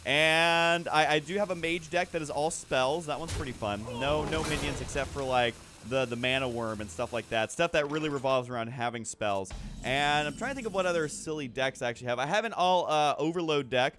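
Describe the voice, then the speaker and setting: Higher-voiced man casually commentating a game. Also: brisk, few pauses, fairly bright tone, faint music behind. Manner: rambling, thinking aloud. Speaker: gaming video host. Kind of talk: casual off-the-cuff gameplay commentary.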